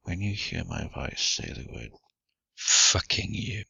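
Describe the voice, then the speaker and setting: A man with a husky, gravelly voice giving intimate erotic hypnosis guidance in a slow, deliberate, breathy and often whispered delivery, recorded close and dry with dull sound. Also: low voice, expressive, sharp tone, no room sound.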